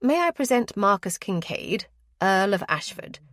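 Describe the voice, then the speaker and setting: A woman's voice: medium pitch, very animated, a little slow, fairly bright tone, no room sound, clean studio sound. A woman voicing a fussy older aristocratic aunt who is busy matchmaking, speaking in a genteel, coaxing way.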